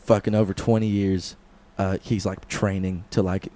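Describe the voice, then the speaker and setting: A man's voice: sits low, level tone, moderate pace, mellow. A young man co-hosting a podcast, rambling through a silly made-up story, swearing and laughing often. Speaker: podcast co-host. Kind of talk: casual comedic chat.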